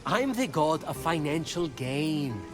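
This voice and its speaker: A man's voice: fairly high pitch, big pitch swings, slow. An actor playing a glib, cheeky trickster god in a video game, with flippant, wry salesman patter. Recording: loud game music behind voice.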